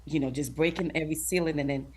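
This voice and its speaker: A woman's voice: low-pitched, steady tone, fast, a little mellow, a little hiss or hum. A middle-aged woman from Guyana, a talk show guest who speaks earnestly, laughs often and acts out what other people say. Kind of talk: casual interview chat.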